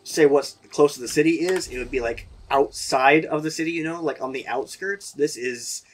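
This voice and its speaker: A man hosting a game playthrough, chatting casually and rambling, his voice hoarse from a sore throat. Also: on the high side, normal speed, slight background noise. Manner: rambling, chatty, laughs often. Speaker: gaming video host. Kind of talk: casual let's-play commentary.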